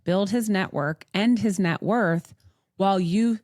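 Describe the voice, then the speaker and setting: A woman's voice: medium pitch, unhurried, a little mellow, no room sound, studio quality. A woman from Montreal with a Canadian accent, hosting a casual advice talk in a blunt, sweary, emphatic manner. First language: English. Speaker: podcast host.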